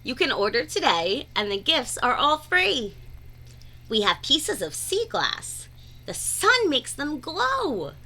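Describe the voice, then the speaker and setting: A woman reading a rhyming children's picture book aloud in an expressive, deliberate, sing-song way. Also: fairly high pitch. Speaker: read-aloud storyteller.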